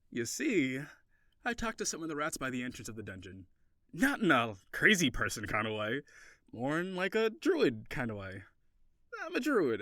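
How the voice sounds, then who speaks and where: A scheming young-hearted elf druid, acted by a man in an audio roleplay, recorded close and dry. He rambles eagerly and coaxingly to his companion, his mid-pitched voice swooping with lively, sly devotion. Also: no echo. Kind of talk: audio roleplay acting, addressing the listener as companion.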